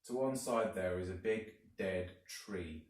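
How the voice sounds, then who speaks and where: A man reading a children's story aloud like a teacher, deliberately and with dramatic emphasis. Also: sits low. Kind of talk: expressive storybook narration. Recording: very echoey room, distant mic.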